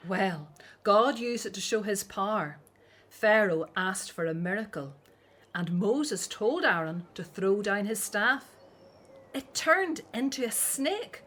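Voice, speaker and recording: Dutiful daughter, ancient Egypt, mid-pitched, expressive, outspoken, audio drama. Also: female voice, slow pace. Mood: chatty, informative.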